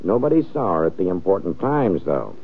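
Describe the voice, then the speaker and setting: An actor playing a hard-boiled, sardonic police lieutenant in an old-time radio crime drama. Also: male voice, deep voice, very animated, brisk, slightly rough, heavily acted. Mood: dry, wry. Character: wisecracking, world-weary police lieutenant, a man. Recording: muffled, narrow-band old radio recording.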